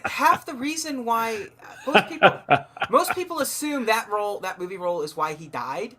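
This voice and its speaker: A woman co-hosting a podcast, chatting casually about films in a halting way, circling back over her phrases. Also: a little high, animated, crowd noise. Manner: halting, repeats and restarts phrases. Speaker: podcast co-host.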